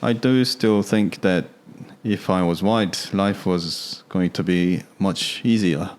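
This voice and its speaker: Deep-voiced man, soft-spoken. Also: slow pace. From Korea. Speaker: podcast guest. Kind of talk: casual interview chat.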